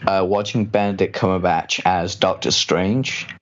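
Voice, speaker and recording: Male guest, transatlantic accent with hard R's, very low voice, casual chatty delivery, muffled lo-fi audio. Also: steady tone, measured pace. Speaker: copywriter and voice actor guest. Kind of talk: casual podcast chat.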